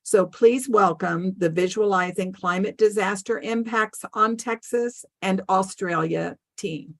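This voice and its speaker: A woman moderating a student science symposium, reading team introductions in a measured, formal way. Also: medium pitch, creaky.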